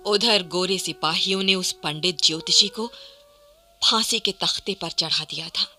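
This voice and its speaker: A woman's voice: fairly low pitch, normal speed, pushed and bright, soft background music. A woman giving dramatic scripted narration for a radio play, theatrical and emphatic.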